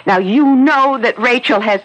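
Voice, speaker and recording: An actress playing a firm, practical mother of a grown son, with a husky voice, in a 1970s radio drama. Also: a little high, moderate pace, fairly bright tone. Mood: firm concern. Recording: dull vintage radio broadcast.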